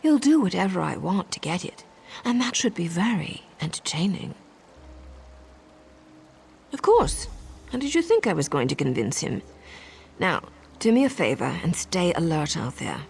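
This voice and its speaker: A woman's voice: lowish voice, sing-song, moderate pace, creaky, long pauses, darkish tone, a little hiss or hum. A woman playing a sly, mischievous female agent in a video game, speaking with sardonic, conspiratorial relish. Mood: conspiratorial, wry. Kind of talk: video game character dialogue. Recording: music behind the voice.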